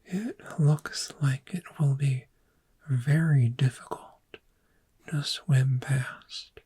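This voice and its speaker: A woman playing a calm, gentle dragon therapist, speaking in hushed, breathy, slow and soothing ASMR guided imagery. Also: a bass voice, heavily acted. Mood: soothing, encouraging. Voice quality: breathy, whispery.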